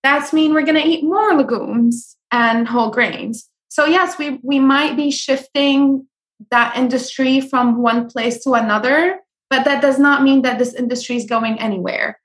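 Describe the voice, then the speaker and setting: A young woman from Syria speaks in a high, lifted voice. She gives earnest, practical advice as an interview guest, with frequent ums and small restarts. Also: measured pace. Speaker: guest, food blogger. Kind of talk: conversational interview.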